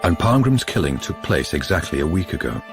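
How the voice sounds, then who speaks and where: An actor as a wry narrator, giving scripted narration in a radio crime drama. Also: male voice, low-pitched, very animated, fairly quick, gravelly, full character voice. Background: music.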